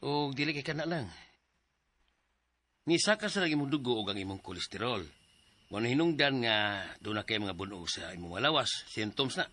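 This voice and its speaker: An actor playing a calm male doctor who explains a diagnosis to a patient in a radio drama. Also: medium pitch, normal speed, pauses often, background noise, lightly acted. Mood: explaining.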